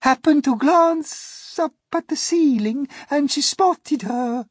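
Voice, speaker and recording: A woman's voice: sits high, takes it slowly, no echo, clean studio sound, pitched above the performer's own voice. A woman voicing a tearful, genteel lady spider. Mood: mournful. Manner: dramatic, tearful storytelling. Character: genteel mournful female spider.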